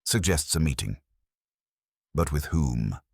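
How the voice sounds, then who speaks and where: A man voicing a mild police inspector who speaks quietly and gently, with dry wit. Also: rumbling low, expressive, slow, creaky, breathy edge, no echo, full character voice. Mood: mild, musing. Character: mild, dryly witty male police inspector.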